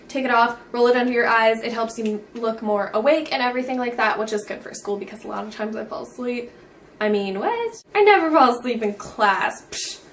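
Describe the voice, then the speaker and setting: Bubbly teen girl, higher voice. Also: distant mic, slight background noise. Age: teens. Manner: bubbly, says "like" constantly. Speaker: beauty vlogger. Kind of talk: casual chatty haul vlog.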